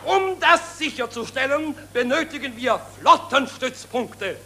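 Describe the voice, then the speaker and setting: Shrill fanatical nationalist orator, very high. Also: male voice, animated, measured pace, exaggerated voice. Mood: strident, demanding. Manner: declamatory, strident oratory. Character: fanatical German nationalist orator demanding annexations at a rally. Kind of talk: 1940s radio drama political speech. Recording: noisy 1940s radio recording, crowd behind.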